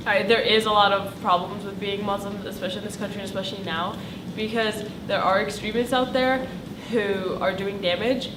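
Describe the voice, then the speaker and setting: A woman in her late teens, a high school student, answering casually in an interview. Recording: echoey noisy room, distant mic.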